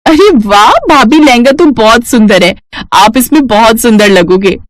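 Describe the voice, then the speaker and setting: A woman's voice: on the high side, normal speed, no echo, lightly acted. A woman voicing a cheerful, chatty young bride-to-be in a cartoon family story. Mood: eager.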